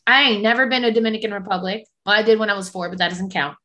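A young woman from New Jersey whose first language is Spanish, co-hosting a casual chat with animated, emphatic talk and frequent laughs. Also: mid-range voice, racing. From born in New Jersey, US. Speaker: podcast co-host.

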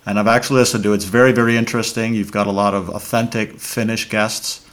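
Low-voiced man hosting a podcast. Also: flat delivery, quick pace, a bit bright. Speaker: podcast host. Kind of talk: casual podcast interview.

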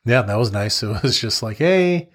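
A man's voice: medium pitch, animated, moderate pace. A young adult man co-hosting a podcast, chatting bluntly, swearing freely and laughing a lot. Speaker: podcast co-host. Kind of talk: casual chat.

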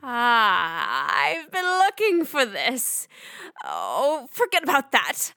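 Animated, bright-voiced eccentric old woman. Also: a little high, slow, no room sound, clean studio sound, heavily acted. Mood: fretful, searching. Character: absent-minded eccentric old woman, muttering and rummaging. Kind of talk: children's audio drama acting.

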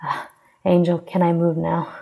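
A woman voicing an ardent, rough young warrior king from a romance audiobook, terse and pleading, with a breathy, strained voice. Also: lowish voice, completely flat delivery, normal speed, warm tone, in a large hall.

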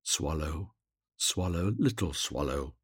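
A man voicing a sorrowful, compassionate statue prince in a storybook, gently commanding and solemnly pleading. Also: a bass voice, expressive, takes it slowly, slightly rough, no echo, clean studio sound, pitched below the performer's own voice, heavily acted.

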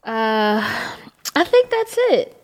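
Female co-host, early 30s, high girlish voice, very animated delivery, casual chat. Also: slow. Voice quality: very youthful, childlike high voice. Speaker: podcast co-host. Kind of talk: casual podcast conversation.

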